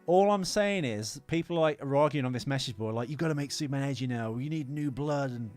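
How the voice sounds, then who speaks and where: A man's voice: medium pitch, a little fast, darkish tone, faint music behind. A man co-hosting a podcast in casual, opinionated film chat, ranting emphatically and swearing freely. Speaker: podcast co-host.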